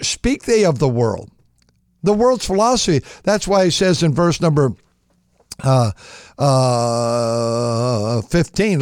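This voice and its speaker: A man with a raspy voice preaching an impassioned sermon, stammering and restarting, then surging into shouted praise. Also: high-pitched, no room sound, studio quality. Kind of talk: fervent radio sermon.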